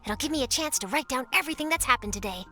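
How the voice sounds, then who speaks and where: A woman's voice: high-pitched, expressive, racing, pushed and bright. A woman playing an eager young female journalist in an English anime game dub, perky, articulate and earnest. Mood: upbeat. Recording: music behind.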